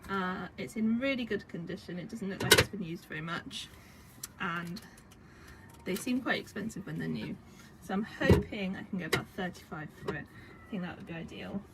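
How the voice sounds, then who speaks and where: A young woman, croaky from a cold, chatting casually at home about secondhand items she resells, with lots of fillers. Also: lowish voice, normal speed. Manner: chatty, rambling with many fillers. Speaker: vintage reseller vlogger. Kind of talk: casual chat. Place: home indoors. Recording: home recording with clear background noise.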